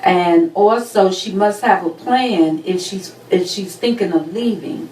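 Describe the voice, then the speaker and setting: A woman speaking in a measured, advising way on a talk show. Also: on the low side, warm tone, echoey room, far from the mic, a little hiss or hum, rough recording. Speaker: panelist.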